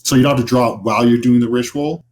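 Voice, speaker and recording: A man's voice: mid-range voice, fairly even intonation, racing, a little mellow, slight background noise. A man narrating as game master of a tabletop horror roleplaying game, describing scenes in a conversational, improvised way. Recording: music behind the voice. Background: music.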